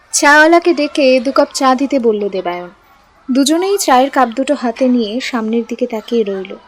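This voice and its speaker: High-voiced woman narrating a love story. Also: a little hiss or hum, rough recording. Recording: music bed behind the voice.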